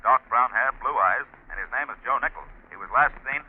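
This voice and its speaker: An actor playing a formal, urgent radio news announcer in a clipped 1940s bulletin style. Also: male voice, mid-range voice, brisk, close to an everyday voice. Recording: tinny, noisy 1940s radio recording.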